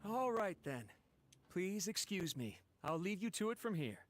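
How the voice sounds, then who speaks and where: A man's voice: sits high, big pitch swings, measured pace, faint music behind. An actor playing an eager, loyal young male retainer in a video game, respectful and upbeat.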